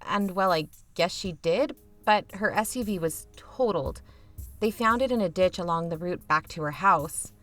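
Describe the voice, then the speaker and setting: A woman's voice: on the low side, lively intonation, moderate pace, a bit bright, soft background music. A woman playing a reluctant, sardonic younger sister who hosts an investigative podcast in an audio drama, talking casually. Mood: subdued, troubled. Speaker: podcast host character. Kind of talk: conversational audio fiction acting.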